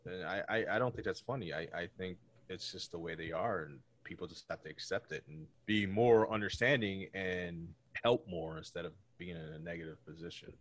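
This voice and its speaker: A man's voice: low voice, brisk, few pauses, fairly bright tone. A man hosting a casual family podcast, talking sincerely with some hesitant repeats. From Greek heritage. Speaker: podcast host.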